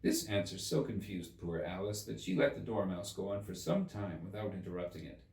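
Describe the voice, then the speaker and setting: A man reading a classic children's story aloud as expressive scripted narration. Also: sits low, fairly quick. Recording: roomy echo, distant mic.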